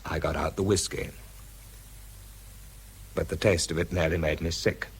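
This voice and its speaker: A man playing a troubled British scientist who narrates a radio drama in a confiding, measured, husky voice. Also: low voice, fairly even intonation, exaggerated voice. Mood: numb, troubled. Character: weary, troubled British male scientist narrating his story. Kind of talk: dramatised first-person narration.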